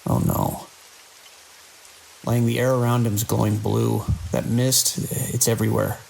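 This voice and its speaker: An actor playing a grim, embittered security chief in an audio drama, speaking in terse, grave and urgent lines. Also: male voice, mid-range voice, flat delivery, measured pace, creaky, a little mellow, poor audio. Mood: urgent, alarmed. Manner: terse, grave official log-keeping and urgent radio talk. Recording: faint hiss with rain-like noise.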